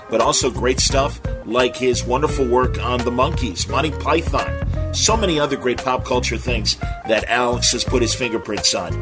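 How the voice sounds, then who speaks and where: A man hosting a podcast, speaking in an informal, earnest, slightly hesitant way as he makes announcements. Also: medium pitch. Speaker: podcast host.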